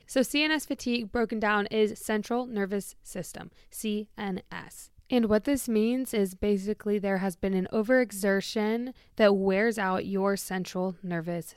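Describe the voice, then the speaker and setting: A young adult woman hosting her podcast in a bubbly, chatty way, her voice a little lower and shaky after a busy event. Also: normal speed, hardly any pauses, smooth, no echo, studio quality. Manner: bubbly, chatty, with light laughs. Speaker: podcast host. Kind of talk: casual podcast monologue.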